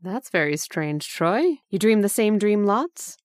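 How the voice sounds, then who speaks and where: A woman playing a gentle, puzzled mother who tenderly questions and coaxes her young son, in old-time radio drama style. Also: mid-range voice, sing-song, a little slow, dry recording, studio quality. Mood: puzzled, concerned.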